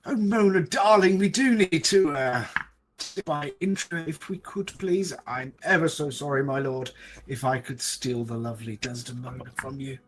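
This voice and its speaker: A man's voice: medium pitch, normal speed, a little hiss or hum, voice pushed up, lightly acted. A man voicing a posh, gushing male courtier in a tabletop game. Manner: affected posh, exaggerated.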